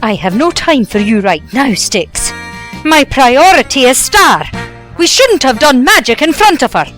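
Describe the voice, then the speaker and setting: A woman playing a bossy, short-tempered woman with a scolding, sharp-tongued manner in an amateur audio drama. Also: sits high, sing-song, a little slow, faint music behind, heavily acted.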